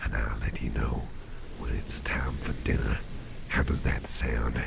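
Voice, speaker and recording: A man playing a caring, rambling boss in a gentle ASMR roleplay, speaking in a breathy, gravelly whisper with folksy wording. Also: a bass voice, flat delivery, measured pace, noisy background, exaggerated voice. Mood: soothing. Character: caring, rambling, soothing male boss at home.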